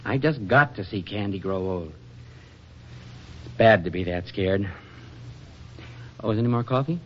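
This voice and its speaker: An actor playing an affectionate, teasing, excitable husband in a 1940s radio comedy, with a folksy manner. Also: male voice, on the low side, measured pace, a little hiss or hum. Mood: shaken, confiding. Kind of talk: 1940s radio drama acting. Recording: dull vintage radio drama recording.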